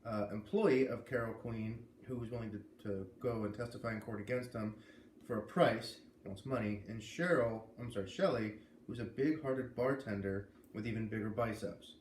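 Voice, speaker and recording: A man doing an expressive storybook read-aloud, pausing to explain and comment as he goes. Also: lowish voice. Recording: echoey room with clear background noise.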